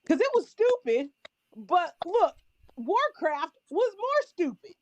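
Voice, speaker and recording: A woman's voice: very high-pitched, very animated, takes it slowly. A woman chatting casually as a podcast panelist, giving blunt, emphatic opinions in a heated group discussion.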